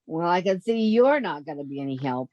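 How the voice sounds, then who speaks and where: A woman's voice: on the low side, big pitch swings, a little fast, warm tone, lo-fi sound. A woman playing a sensible, wisecracking, exasperated young roommate in a 1940s-style radio comedy. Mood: firm, exasperated.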